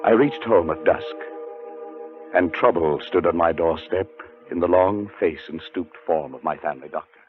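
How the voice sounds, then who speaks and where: Actor as a deep-voiced, haunted scholarly gentleman. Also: moderate pace, close to an everyday voice. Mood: nervous suspense. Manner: dramatic, brooding, suspenseful. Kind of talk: 1940s radio drama narration. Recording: muffled 1940s radio recording with music.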